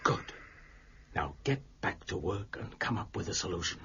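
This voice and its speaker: An actor playing an overbearing, contemptuous prefect of police in an audio drama, giving imperious orders in formal language. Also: male voice, low-pitched, expressive, moderate pace, gravelly, fairly bright tone, husky, highs cut off, full character voice. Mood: stern, threatening. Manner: imperious, clipped commands, formal diction.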